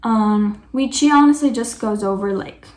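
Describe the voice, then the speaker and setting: Woman, vlogger, casual chat, higher voice, lots of "like", echoey room. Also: moderate pace, darkish tone. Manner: chatty, full of "like" and self-corrections. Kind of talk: casual chat answering viewer questions.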